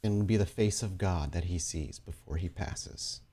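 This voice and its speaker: Smug vampire crime boss acted by a man: low, measured, formal, quietly menacing, for a tabletop horror game. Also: husky. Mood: taunting.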